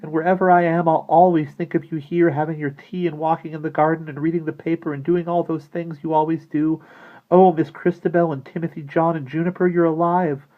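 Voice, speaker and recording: A man voicing an earnest, excitable young girl in a storybook, with emphatic exclamations. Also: a little high, brisk, voice pushed up, lightly acted. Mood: overjoyed, earnest. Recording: muffled, narrow-band lo-fi audio.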